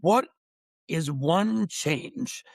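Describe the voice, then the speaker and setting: A man's voice: a little high, animated, extremely slow, gravelly, dry recording, studio quality. A man from Michigan, hosting a podcast in an enthusiastic, effusive, rambling way on a remote call. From Upper Peninsula of Michigan, USA. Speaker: podcast host. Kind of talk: casual interview chat.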